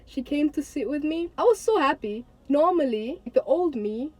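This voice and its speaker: A young woman of eighteen from Tanzania talks straight to her viewers in a casual vlog. Her voice sits a bit high but sounds soft and mellow. She speaks earnestly and chattily, often repeating words for emphasis. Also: lively intonation, moderate pace. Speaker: vlogger. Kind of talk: casual talk to camera.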